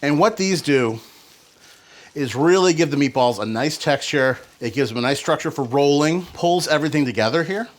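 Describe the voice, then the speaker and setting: A man's voice: fairly high pitch, expressive, normal speed. A man hosting a home cooking show, talking casually and rambling into playful, joking asides. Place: home kitchen.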